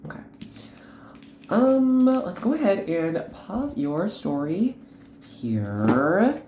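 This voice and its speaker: Woman doing a tarot reading, really unhurried, earnest, choppy little phrases, bit of background noise. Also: fairly low pitch, sing-song, echoey room. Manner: earnest, instructive, halting delivery in short phrases. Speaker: host, tarot card reader. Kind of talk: unscripted tarot reading addressed to the viewer.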